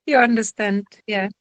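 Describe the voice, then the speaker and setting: A woman with a Czech accent speaks slowly and a little high, pausing often as she searches for words. Her tone is friendly and informal, like a therapist answering questions after an online lecture. The video-call sound is dry and close. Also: no room sound. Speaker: somatic therapist giving a lecture.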